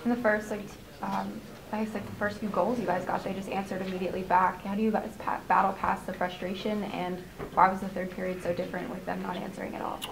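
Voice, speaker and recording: Quick, gravelly female reporter. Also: medium pitch, level tone, slight background noise, poor audio. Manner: hesitant, with fillers. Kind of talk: press conference questions.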